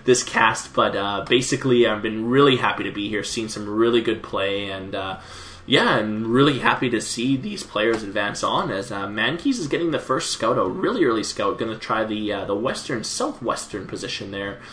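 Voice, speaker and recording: A young man casting a video game match by himself, slightly hoarse after a long day, chatty with lots of fillers. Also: on the low side, expressive, normal speed, fairly bright tone, a little hiss or hum. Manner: chatty, many fillers, laughs at plays. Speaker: esports caster. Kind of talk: solo play-by-play game commentary.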